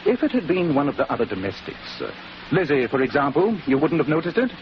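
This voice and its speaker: Precise, animated English detective. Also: male voice, on the high side, fast talker. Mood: probing. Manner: precise, authoritative, theatrical. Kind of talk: 1940s radio acting. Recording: muffled, noisy 1940s radio recording.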